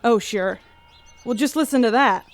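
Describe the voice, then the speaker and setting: A woman's voice: on the high side, moderate pace, lightly acted. A woman playing a shy, earnest animal keeper on a starship in a sci-fi radio drama, rambling hesitantly. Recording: faint ambient noise, staged barn.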